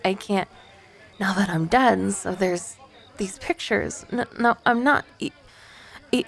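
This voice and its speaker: A woman's voice: medium pitch, a little slow, vocal fry. A woman acting as an awkward, nervous young female ghost in an ASMR roleplay, stammering, flustered and defensive.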